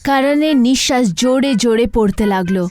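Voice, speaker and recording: A woman's voice: fairly high pitch, moderate pace, darkish tone. A woman reading a scripted horror story as its narrator. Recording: music bed behind the voice.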